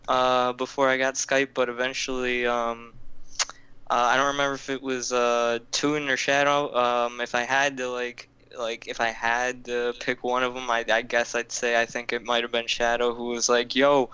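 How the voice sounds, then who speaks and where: Bright, crisp-voiced young man rambling. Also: mid-range voice, no room sound, highs cut off. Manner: rambling, profane, lots of fillers and restarts. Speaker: podcast co-host. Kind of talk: casual storytelling chat.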